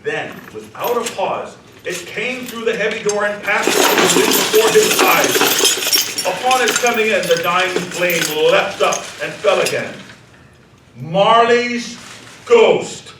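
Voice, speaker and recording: A man's voice: sits high, slow. A man narrating a story for a live radio drama in a theatrical, scripted storytelling style. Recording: echoey room, distant mic, noticeable noise.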